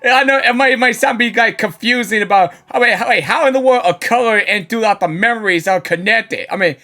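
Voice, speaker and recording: A woman game streamer giving casual, rambling, excitable live reactions. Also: fairly high pitch, brisk. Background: game music.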